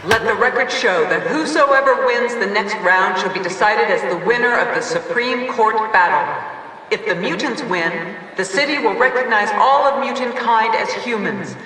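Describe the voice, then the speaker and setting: Actor as a bright-voiced, formal judge in echo. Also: mid-range voice, normal speed, natural voice. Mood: solemn, commanding. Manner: formal, proclaiming, official. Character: stern, formal judge proclaiming a ruling. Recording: echoey hall, distant, faint noise.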